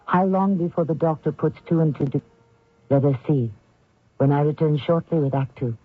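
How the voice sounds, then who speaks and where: A male mystery-radio host with a slightly high-set voice narrates deliberately and theatrically. The broadcast sounds narrow and tinny, with faint music underneath. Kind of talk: scripted, dramatic 1970s-style radio narration.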